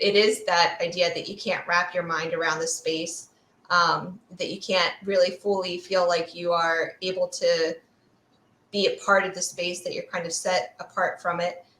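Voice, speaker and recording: A woman with a slightly low voice speaks in a roomy, echoey space. She explains things conversationally, as an expert guest would in an interview. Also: measured pace, fairly bright tone. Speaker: guest expert, fear sociologist.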